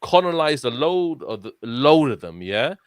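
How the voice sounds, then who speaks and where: A man's voice: mid-range voice, big pitch swings, unhurried, dry recording, clean studio sound. A young man with a London accent, co-hosting a casual football podcast and talking earnestly, often tagging "yeah?" onto his points. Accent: London English. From London, England. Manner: earnest, rambling, repeats "yeah?" and restarts. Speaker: podcast co-host.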